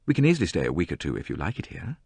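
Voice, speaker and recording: A man voicing an eager, adoring young husband who speaks gently. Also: deep voice, huge pitch swings, very fast, gravelly, slightly rough, dry recording, full character voice.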